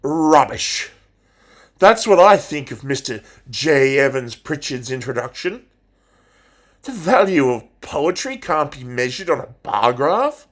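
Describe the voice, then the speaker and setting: A man playing a passionate, theatrical English teacher in a dramatic monologue, declaiming deliberately with pauses. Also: mid-range voice, big pitch swings, a bit bright. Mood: fiery, urging. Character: passionate, theatrical male English teacher rousing his pupils.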